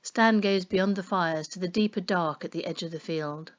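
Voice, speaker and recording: A woman reading a children's story aloud in an expressive storytelling style. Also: lowish voice, moderate pace, lo-fi sound. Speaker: story reader. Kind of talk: expressive children's audiobook narration.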